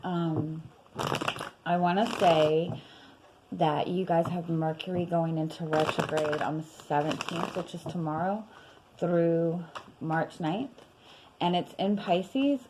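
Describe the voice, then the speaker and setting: Tarot reader, woman, young adult, low voice going hoarse, rambling and casual, lots of fillers. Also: slight background noise, rough recording. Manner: chatty, rambling, many fillers and soft laughs. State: losing her voice. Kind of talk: casual tarot reading vlog.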